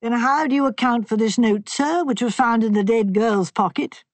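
A woman's voice: a little high, normal speed, no echo, lightly acted. A woman reading a stern male police inspector who questions bluntly and without emotion. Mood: probing.

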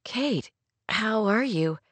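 A woman playing a gentle, confused young woman in an audiobook romance, speaking in a soft, hesitant, pleading way. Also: mid-range voice, animated, slow pace, no echo. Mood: puzzled, gentle. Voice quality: soft, murmuring.

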